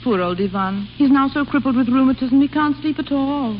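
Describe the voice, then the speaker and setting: Actress as an imperious high-voiced grand duchess, old radio. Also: female voice, a little fast, natural voice. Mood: imperious, indignant. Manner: imperious, commanding. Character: haughty, imperious exiled grand duchess, female. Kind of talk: 1930s-40s American radio comedy acting. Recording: muffled, noisy old radio recording.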